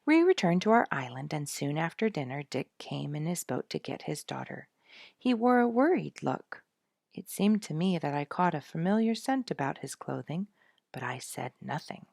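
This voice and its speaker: A woman reading a children's story aloud in expressive scripted narration. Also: deep voice, normal speed, creaky, no room sound, studio quality.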